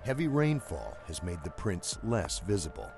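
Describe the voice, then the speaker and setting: A man reading scripted documentary narration in a measured, storytelling way. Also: low voice, huge pitch swings, gravelly. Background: music.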